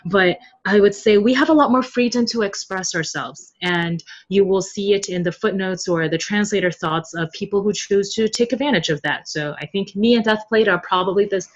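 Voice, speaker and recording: A chatty woman speaking casually as an interview guest, quick to laugh, explaining her work as a translator. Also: medium pitch, dull audio. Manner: chatty, laughs readily while talking. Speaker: podcast guest, web novel translator.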